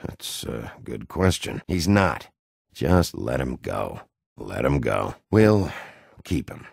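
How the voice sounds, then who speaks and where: An actor voicing a gruff, hot-tempered wolf detective in a video game, rough voice, blunt and threatening. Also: male voice, a bass voice, big pitch swings, takes it slowly, no echo, studio quality, exaggerated voice. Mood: weary, guarded. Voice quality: gravelly, rough voice. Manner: blunt, threatening interrogator, curses freely.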